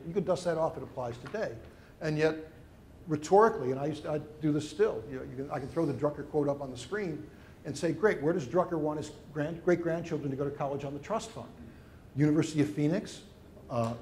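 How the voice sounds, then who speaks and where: Middle-aged guy rambling on about education, kinda higher voice, bit of hiss behind. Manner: expansive, discursive, lively emphasis. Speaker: education researcher guest. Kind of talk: conversational interview answer.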